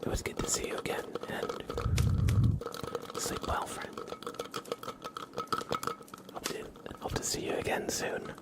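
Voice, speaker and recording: Male ASMR host: low, hushed whisper, very slow pacing, gentle direct address. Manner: gentle, unhurried delivery addressing listeners directly.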